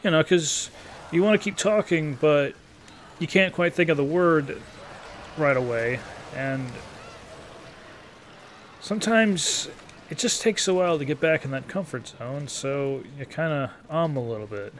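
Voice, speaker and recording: A man in his early thirties giving casual, rambling gameplay commentary in an informal, halting, profane, self-deprecating way. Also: a little high, slight background noise. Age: early 30s. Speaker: gaming video creator.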